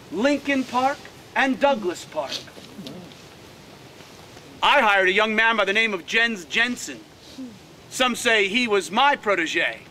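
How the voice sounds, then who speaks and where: A man's voice: very high-pitched, pushed and bright, a little hiss or hum, heavily acted. A man playing a proud nineteenth-century park designer, telling his life story earnestly to a tour group outdoors. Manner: earnest, emphatic, addresses the crowd directly. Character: proud old Swiss-born park designer. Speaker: costumed tour guide, historical reenactor. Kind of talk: first-person living-history storytelling. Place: outdoors by a prairie on a walking tour.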